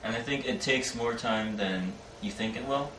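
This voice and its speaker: A man's voice: mid-range voice, moderate pace. A young man giving short, direct advice in a casual way as a student panelist. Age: young adult. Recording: roomy, distant mic with background noise.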